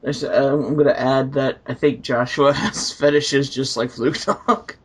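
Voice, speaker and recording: A man's voice: medium pitch, flat delivery, a little slow, husky. A man reading online comments aloud and discussing them as a co-commentator.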